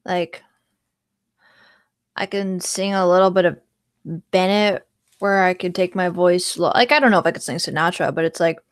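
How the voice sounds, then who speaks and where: A woman's voice: fairly low pitch, moderate pace, long pauses, smooth, no echo, studio quality. A young woman streaming casually, rambling about singing and breaking into short song snippets. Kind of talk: casual livestream chat with singing.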